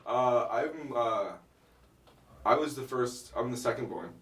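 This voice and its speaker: A man's voice: medium pitch, a little slow, fairly bright tone, far from the mic. A young man from Miami, a comedian guest, chatting casually in an interview and telling stories with dry, self-deprecating humor. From Miami, United States. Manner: laid-back storytelling with dry self-deprecating humor and frequent laughs. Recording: echoey room, voice from off-mic.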